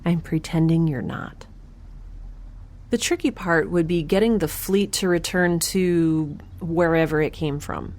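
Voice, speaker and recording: A woman's voice: low-pitched, measured pace, a little mellow. A woman playing a wry, stubborn young scientist in a space audio drama, with a dry, sardonic and precise way of talking. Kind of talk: audio drama acting, first-person log narration.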